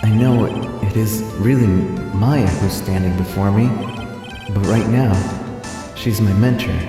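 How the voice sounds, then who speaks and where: A man voicing an earnest young male defense lawyer's inner thoughts in a video game, measured, with reverb. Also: on the low side. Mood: astonished. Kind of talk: video game voice-over, read live. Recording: heavy long reverb, music behind.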